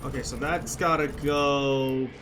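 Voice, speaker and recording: A streamer giving casual let's-play commentary, playful and slangy, reacting out loud. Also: mid-range voice, steady tone, slow pace, background noise. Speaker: gameplay streamer. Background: game music.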